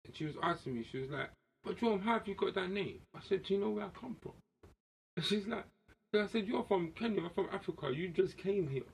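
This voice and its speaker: A man's voice: high voice, animated, fast, gravelly, a little hiss or hum. A man hosting a podcast, arguing in a blunt, slangy, casual way. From West Indian heritage. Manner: outspoken, argumentative, slangy. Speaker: podcast host. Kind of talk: casual heated discussion.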